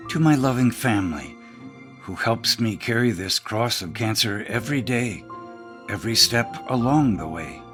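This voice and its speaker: A man's voice: on the low side, animated, normal speed, fairly bright tone, soft background music. A man, a priest, reading a scripted audiobook narration.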